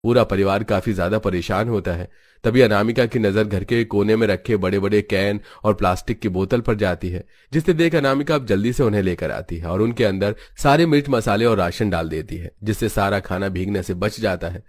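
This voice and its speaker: A man's voice: on the low side, brisk, no room sound, studio quality. A man giving scripted narration of a story.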